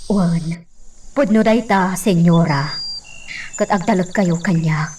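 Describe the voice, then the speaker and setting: An actress playing an earnest, respectful woman servant of a mansion in an Ilocano radio drama, deferential and measured as she confides. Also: lowish voice, a little mellow, far from the mic, a little hiss or hum. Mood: earnest, confiding.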